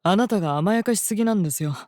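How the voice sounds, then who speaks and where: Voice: medium pitch, very fast, a little mellow, no room sound, clean studio sound, lightly acted. An actor playing a strict, composed and dutiful master star-reader in a Japanese fantasy voice drama, speaking politely and firmly. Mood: dry reproof. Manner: polite, formal, firm.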